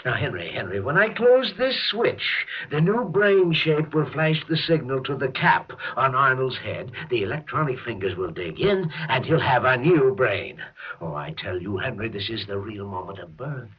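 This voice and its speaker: An actor playing an aging, self-important mad doctor in a 1950s radio drama, excitable and theatrical. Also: male voice, mid-range voice, normal speed, breathy edge. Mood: gleeful zeal. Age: older. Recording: dull vintage radio recording, music behind.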